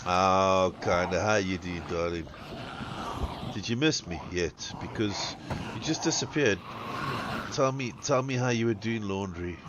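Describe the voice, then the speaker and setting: A man's voice: low voice, a little slow. A man from South Africa with a South African accent, chatting casually and crudely to his live-stream audience while gaming. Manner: rambling and crude, swears freely. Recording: dull lo-fi with background noise.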